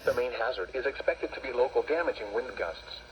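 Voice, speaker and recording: A man's voice: mid-range voice, lively intonation. Formal male announcer through a noisy weather radio. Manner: measured, formal, impersonal automated reading. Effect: weather radio speaker. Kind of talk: scripted weather bulletin. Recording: dull lo-fi radio, loud noise.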